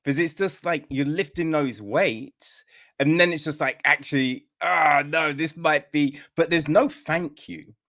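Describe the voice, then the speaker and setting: A man's voice: on the high side, a little slow. A man hosting a podcast interview, telling stories casually and acting out the quoted lines with lots of energy. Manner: animated storyteller acting out quoted dialogue. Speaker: podcast host.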